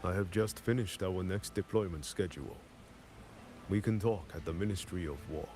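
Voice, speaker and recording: An actor playing a grave, dignified commander of a besieged city in a video game, speaking formally with measured authority. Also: male voice, low voice, background noise, lightly acted. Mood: composed. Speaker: military commander character. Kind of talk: scripted video game dialogue.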